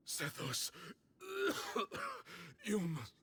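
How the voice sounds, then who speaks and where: An actor playing an ailing, solemn and devout elderly temple leader in a video game, frail and coughing. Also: male voice, high voice, pushed and bright, a little hiss or hum, heavily acted. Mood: labored, urgent.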